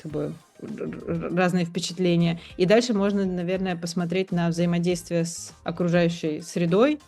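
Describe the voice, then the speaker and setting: A woman's voice: fairly low pitch, level tone, normal speed, dark tone, faint music behind. A woman in a casual discussion, thinking aloud in a hesitant, reflective way and often rephrasing herself. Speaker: podcast participant.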